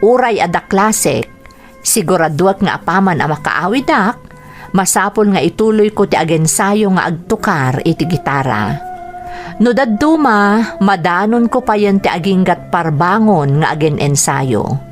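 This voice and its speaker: A woman voices a young music lover looking back fondly in a radio drama narration. The delivery is earnest and very expressive in pitch, slightly low in register, with faint music behind it. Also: a little slow. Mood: fond, reflective. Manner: reflective, earnest storytelling.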